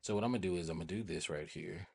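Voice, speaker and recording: An American man with a husky voice hosting a casual software tutorial, rambling informally and often checking in with listeners. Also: low voice, racing. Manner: informal, rambling, repeats check-in tags like "right?" and "okay?". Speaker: host of a tech tutorial channel.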